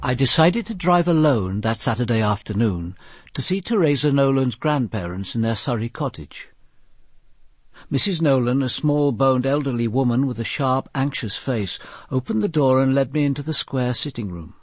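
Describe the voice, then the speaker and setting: An actor playing a composed British detective, giving formal, measured first-person narration in a drama. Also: male voice, medium pitch, lively intonation, dry recording, natural voice. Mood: sober, composed.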